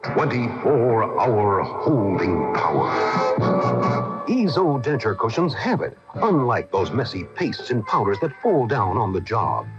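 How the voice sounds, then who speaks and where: A man delivers an emphatic radio sales pitch with hugely varied intonation. Clear music runs underneath on a lo-fi 1980 broadcast. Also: very animated, unhurried. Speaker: commercial announcer. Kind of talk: radio ad read.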